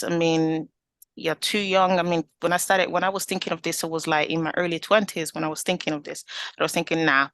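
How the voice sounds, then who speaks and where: A woman's voice: fairly low pitch, fairly bright tone, dry recording. A young woman with an Italian accent, telling her story as an interview guest, earnest and full of restarts. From Italy. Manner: earnest, rambling with many restarts and fillers.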